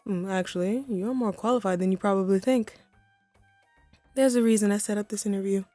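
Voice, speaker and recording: A woman's voice: medium pitch, fairly quick, mellow, no room sound, faint music behind, close to an everyday voice. A woman voicing a haughty, wry female supervillain in a scripted audio roleplay, poised and theatrical but conversational. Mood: encouraging, sly.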